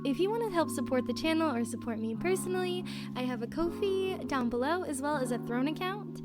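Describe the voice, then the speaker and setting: A woman's voice: high-pitched, animated, a little fast. A young woman hosting her channel, giving an upbeat outro to her listeners. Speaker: channel host, audio creator. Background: music.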